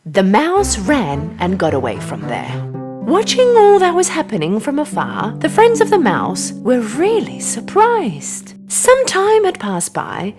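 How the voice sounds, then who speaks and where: Highly animated woman storyteller. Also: a little slow, over music. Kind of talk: animated scripted children's narration.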